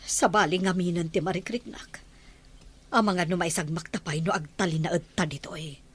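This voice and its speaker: An actress playing a weary, secretive lady of the house in an Ilocano radio drama, with a husky voice. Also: fairly low pitch, fairly even intonation, moderate pace, a bit bright. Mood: anxious, determined. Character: secretive, weary mistress planning escape from the mansion.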